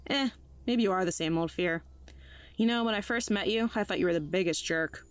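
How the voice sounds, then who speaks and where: A woman's voice: medium pitch, a little fast, no echo, lightly acted. An actress in an audio drama playing a blunt, sardonic woman with a casual, slangy way of talking. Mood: wry, skeptical. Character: Olivia, blunt, sardonic, slangy human friend.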